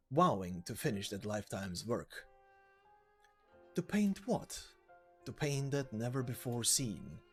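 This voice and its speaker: Theatrical storyteller played by a man, lively intonation. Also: medium pitch, close to an everyday voice. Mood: solemn, rhetorical. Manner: rhetorical, measured, archaic phrasing. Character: theatrical old-style storyteller recounting a melancholy tale. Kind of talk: dramatic storytelling.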